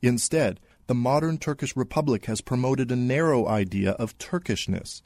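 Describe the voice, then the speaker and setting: A male correspondent reads a scripted radio report. His voice sits at a middle pitch with a measured, even reading. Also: no echo.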